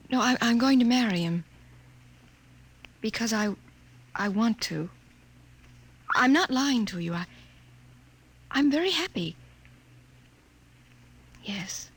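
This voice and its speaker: A young woman acting in a 1950s radio drama as an anxious, self-sacrificing scientist in love, speaking haltingly with held-back emotion. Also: mid-range voice, husky.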